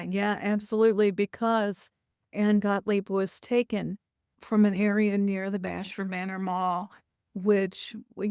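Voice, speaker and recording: Clear-voiced woman in her sixties. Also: medium pitch, darkish tone, dry recording, clean studio sound. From Louisville, United States. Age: 60s. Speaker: author, interview guest. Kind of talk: reflective interview talk.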